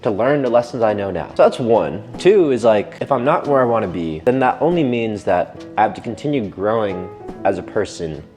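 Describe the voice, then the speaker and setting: A man's voice: lowish voice, expressive, a little fast. A man in his twenties talking casually and candidly in a personal vlog, swearing freely as he reflects on his year. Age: 20s. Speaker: vlogger. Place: apartment. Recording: roomy sound with loud guitar music.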